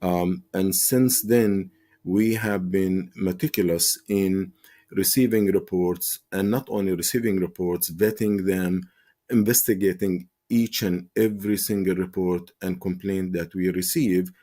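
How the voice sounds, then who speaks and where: A man speaking with a low, mellow voice as an advocacy spokesman in a TV interview. He talks earnestly and with passion, often pausing on fillers and restarting his sentences. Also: steady tone. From Palestine. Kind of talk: TV interview answers.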